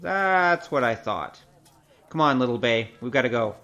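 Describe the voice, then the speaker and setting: A woman's voice: a bass voice, expressive, moderate pace, fairly bright tone, dull audio, heavily acted. A woman acting in an audio drama as a wry, streetwise investigator with a cocky, teasing, casual manner. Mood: shrewd, brisk.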